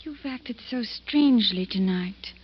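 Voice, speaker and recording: Worried, high-voiced cautious woman. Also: lively intonation, a little slow, husky. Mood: concerned. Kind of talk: old-time radio drama acting. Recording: dull old radio recording, faint music.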